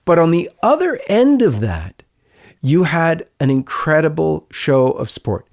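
A man hosting an interview show, speaking in a halting, deliberate and sympathetic way. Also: fairly high pitch, very animated, gravelly. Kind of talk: conversational interview.

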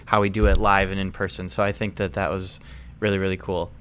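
A man's voice: sits low, level tone, a little fast, fairly bright tone, smooth. A man who produces and edits a workplace podcast, explaining things earnestly step by step with many fillers. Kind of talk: casual podcast chat.

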